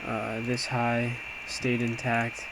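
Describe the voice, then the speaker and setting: A man's voice: mid-range voice, slow. A man giving an informal, hesitant, self-reflective trade recap as an amateur day trader, talking casually as he walks through a screen. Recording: clear steady background noise.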